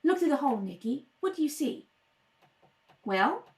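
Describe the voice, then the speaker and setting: Inquisitive, fretful, loving detective's wife, played by a woman, very high and animated, for a radio mystery. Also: moderate pace. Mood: curious, brisk. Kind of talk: amateur radio mystery read-through. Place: at a kitchen table at home.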